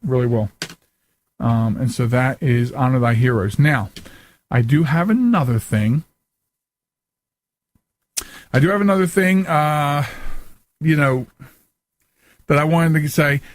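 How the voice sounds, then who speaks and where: A man's voice: medium pitch, slow pace, pauses often, no echo. An American man hosting a podcast in casual, unscripted talk, earnest and emphatic, often repeating himself as he goes. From United States. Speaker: talk show host.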